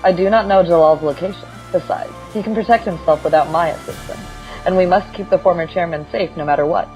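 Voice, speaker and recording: A woman's voice: fairly low pitch, brisk, a little mellow, faint music behind, lightly acted. A woman playing a stern armored warrior. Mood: earnest. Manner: formal, earnest. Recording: muffled lo-fi recording.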